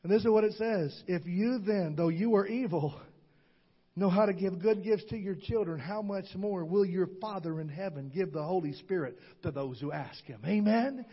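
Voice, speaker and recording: A man preaching a sermon, shouting with call-and-response to the congregation. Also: sits high, normal speed, breathy edge, dull audio. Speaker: pastor. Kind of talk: Pentecostal sermon.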